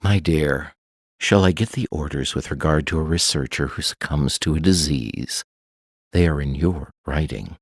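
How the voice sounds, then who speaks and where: A man's voice: a bass voice, normal speed, no room sound. A man voicing a haughty, reserved master mage with a formal, pompous, dry manner in an audiobook. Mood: dry regret. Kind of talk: audiobook character acting.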